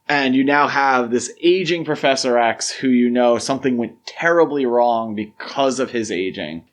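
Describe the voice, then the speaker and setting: Casting: male podcast host, mid-pitched, casual and opinionated film talk, explains at length.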